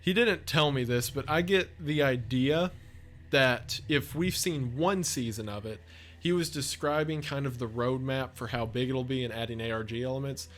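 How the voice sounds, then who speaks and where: Enthusiastic chatty man, medium pitch. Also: normal speed, a bit bright. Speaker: video essay creator and narrator. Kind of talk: casual off-the-cuff video commentary.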